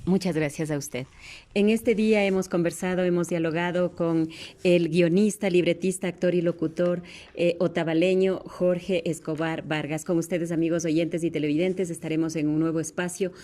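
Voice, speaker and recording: Low-pitched woman interviewer. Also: fairly even intonation, normal speed, dark tone. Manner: courteous, formal address. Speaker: interviewer and programme host. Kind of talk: formal radio interview hosting.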